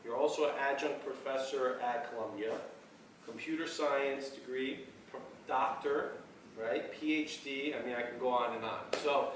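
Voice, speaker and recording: A man's voice: medium pitch, fairly even intonation, normal speed. Host guy rambling through his questions, echoey hall, lots of noise. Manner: loose, rambling questions with many restarts. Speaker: event host, interviewer. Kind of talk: casual onstage interview. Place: event venue, echoey room. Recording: echoey room, far mic, background noise.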